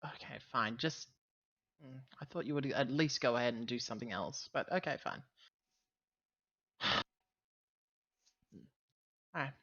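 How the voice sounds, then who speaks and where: A man's voice: medium pitch, unhurried, pauses often, pushed and bright, muffled recording. A man playing a tabletop game, talking casually as he roleplays with the group.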